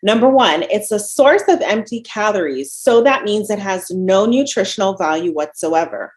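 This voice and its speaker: A woman's voice: mid-range voice, animated, normal speed. Upbeat Jamaican woman presenting. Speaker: dietitian presenter. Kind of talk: webinar presentation. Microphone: video call.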